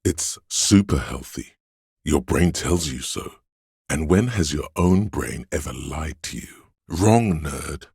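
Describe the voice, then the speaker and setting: A man voicing the hedonistic inner voice of addiction in a video game, a sleazy tempter in the brain with a gravelly, husky, goading drawl. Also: a bass voice, lively intonation, slow pace, darkish tone, dry recording, clean studio sound, exaggerated voice. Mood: persuasive, then mocking.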